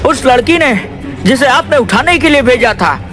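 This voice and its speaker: Man acting a battered cartoon goon, extremely high pitch, whining, complaining, faint music and crowd. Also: expressive, moderate pace, fairly bright tone. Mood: aggrieved. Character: beaten hired male village thug, complaining.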